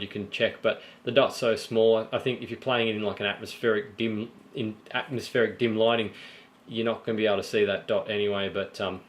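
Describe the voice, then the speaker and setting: A man with a slightly croaky voice, casually showing his painted miniatures and explaining each step with plenty of ums and restarts. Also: on the low side. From Australia. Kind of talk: casual show-and-tell vlog.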